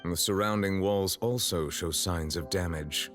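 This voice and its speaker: An actor playing a grave, formal male judge in video game dialogue, speaking in a measured, solemn way. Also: low-pitched, over music.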